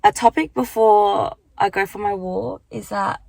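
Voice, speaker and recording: Young aussie woman vlogging into her phone, croaky voice, rambly and chatty, dry close sound. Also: mid-range voice, steady tone, measured pace, darkish tone, dry recording. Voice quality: croaky morning voice. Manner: chatty, rambling, lots of fillers. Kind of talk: casual chat vlog. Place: car at the beach, then walking outdoors. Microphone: handheld phone.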